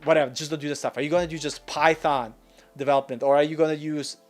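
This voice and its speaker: A man's voice: fairly high pitch, fairly even intonation, fast talker, soft background music. A man in his forties hosting a live Q&A, talking frankly and earnestly in a chatty way with lots of fillers. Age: 40s. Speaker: channel host. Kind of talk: live Q&A advice chat.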